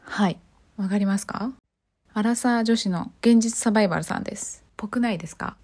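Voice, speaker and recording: A woman's voice: medium pitch, brisk, a little mellow, slight background noise. Casual woman hosting a solo podcast. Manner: chatty, often turning questions to the listeners. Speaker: podcast host.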